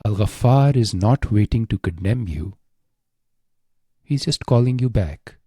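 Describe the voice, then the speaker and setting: A man guiding a scripted meditation in a hushed, solemn, deliberate way, pausing often, close to the microphone. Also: fairly low pitch, lively intonation, husky, dry recording. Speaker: meditation guide. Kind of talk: slow scripted guided meditation.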